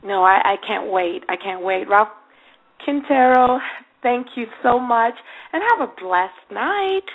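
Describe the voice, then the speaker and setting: Woman host, creaky and high-pitched. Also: animated, takes it slowly, narrow, tinny audio. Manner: enthusiastic, effusive. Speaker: radio show host. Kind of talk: casual interview chat.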